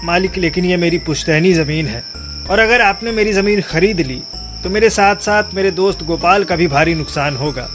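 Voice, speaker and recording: A man playing a humble, earnest married villager who wavers, in an animated moral tale. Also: sits high, moderate pace, music in the background, lightly acted. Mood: hesitant. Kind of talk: animated moral bedtime story.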